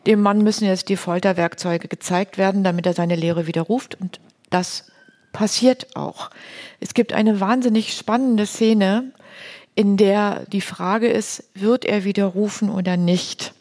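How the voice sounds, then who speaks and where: Woman host, chatty plain wording, retells a story n asks questions, average pitch. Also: fairly even intonation, unhurried, a little mellow. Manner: colloquial, plain-spoken.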